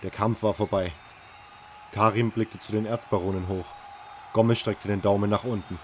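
A man's voice: fairly low pitch, fairly even intonation, normal speed, a little hiss or hum. A man narrating a scripted audio drama story.

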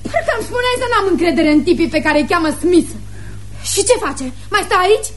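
A defiant teenage daughter in radio theatre. Her high-pitched voice is sullen and sarcastic, with slight noise behind it. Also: lively intonation, fairly bright tone. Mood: suspicious, defiant. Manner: sulky, retorting, sarcastic.

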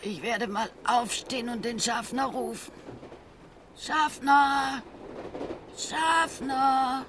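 A woman's voice: sits high, steady tone, slow pace, long pauses, background noise. A woman playing an uneasy, genteel old lady travelling by train in a German radio play. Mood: uneasy, insistent.

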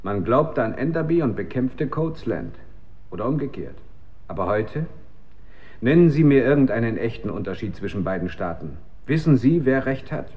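A man's voice: medium pitch, big pitch swings, normal speed, highs cut off, close to an everyday voice. An actor playing a disillusioned male scientist in a radio drama, reflective, hesitant and articulate. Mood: troubled, reflective. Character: brooding, disillusioned male scientist at a weapons works. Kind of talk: German radio drama acting.

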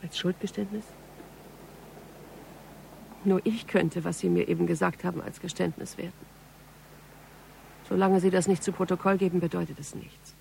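Determined detective, radio drama, serious, pressing, creaky voice, faint background noise. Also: female voice, lowish voice, fairly even intonation, moderate pace, pauses often, darkish tone. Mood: resigned, terse. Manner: insistent, serious, controlled. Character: determined female police investigator, earnest and pressing. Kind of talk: radio crime drama dialogue.

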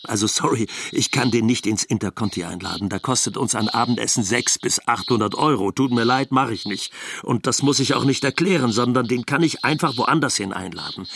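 A man's voice: mid-range voice, a little fast, gravelly, fairly bright tone, slight background noise. A man playing an exasperated Western husband living in China, telling his story bluntly and colloquially in a documentary radio drama. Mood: wry, exasperated.

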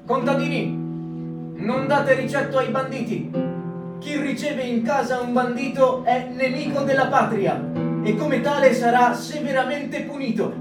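A man's voice: very high voice, fairly even intonation, unhurried. A man voicing a stern wartime authority, reading decrees in a stage reading for radio, with music behind. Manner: stern official proclamation. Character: stern adult male voice of occupying authority. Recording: roomy, distant mic, music behind.

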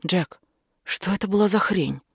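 A woman's voice: mid-range voice, normal speed, creaky, breathy edge, no room sound, clean studio sound. A woman reading an audiobook as a shaken, stubborn and curious young woman who questions insistently. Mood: insistent, questioning. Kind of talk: audiobook character dialogue.

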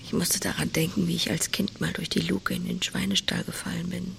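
An actress playing a blunt, tender pig-farming countrywoman in an audio drama, often speaking in a hushed, intimate half-whisper. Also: lowish voice, flat delivery, a little fast, vocal fry. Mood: hushed, reflective. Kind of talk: naturalistic audio drama acting.

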